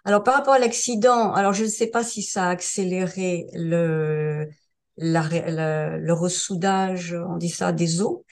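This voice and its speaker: An older woman around seventy, originally from France, speaks in a somewhat low voice. She tells her story casually and haltingly, often pausing on fillers and starting over. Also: steady tone. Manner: hesitant, many fillers and restarts. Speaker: interview guest sharing a testimonial. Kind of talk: casual spoken testimonial.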